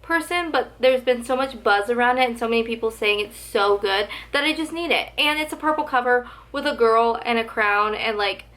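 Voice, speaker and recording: A fairly high-voiced young woman gushes to the camera about her favorite books, talking casually and saying "like" a lot. Her voice is a little hoarse, as if it comes and goes. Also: normal speed, few pauses, a bit bright. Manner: gushing, chatty, heavy use of "like". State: slightly losing her voice. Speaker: book vlogger. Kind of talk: casual book tag vlog.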